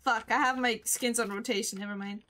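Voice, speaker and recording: A young woman in her twenties with a fairly high voice, playful and teasing as she calls out plays to her team. She swears freely and jokes at her teammates' expense. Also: steady tone, brisk, bright, forceful tone. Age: 20s. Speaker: streamer. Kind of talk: casual gaming chat with callouts.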